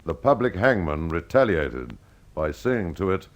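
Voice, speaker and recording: Deep-voiced, very animated male mystery narrator. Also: unhurried. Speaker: host and storyteller. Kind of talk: scripted detective mystery narration.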